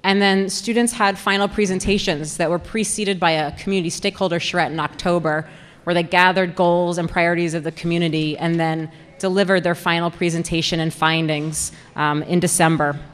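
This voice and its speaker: A woman's voice: lowish voice, steady tone, fairly quick, clear, resonant tone. A young woman giving a prepared, organized public presentation as a program coordinator, speaking into a microphone on a stand. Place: indoor presentation room with audience. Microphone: handheld-style mic on stand. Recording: reverberant hall through PA microphone.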